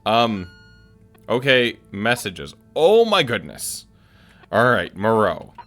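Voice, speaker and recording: Man, gaming host, lively pitch, bright voice, chatty and playful, casual commentary, faint music behind. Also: slow. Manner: chatty, playful, thinking aloud. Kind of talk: casual game commentary, reacting aloud.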